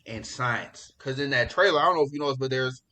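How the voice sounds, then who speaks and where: A man's voice: mid-range voice, fast, a little hiss or hum. Casual, slangy man chatting on a podcast. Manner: slangy, profane, excitable. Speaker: podcast host. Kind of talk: casual pop culture chat.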